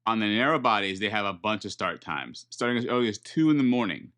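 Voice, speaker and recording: A man with a fairly low voice explains procedures in a casual, conversational way. He goes step by step through detailed examples, often breaking off and rephrasing as an airline pilot talking off the cuff. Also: animated, fast talker, fairly bright tone. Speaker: airline pilot, first officer.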